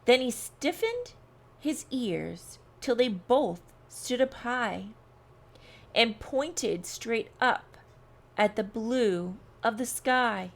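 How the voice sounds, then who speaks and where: A woman narrates a children's read-along with a fairly high voice. Her pace is extremely slow and deliberate, and she reads each phrase expressively. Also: expressive, vocal fry. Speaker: storyteller.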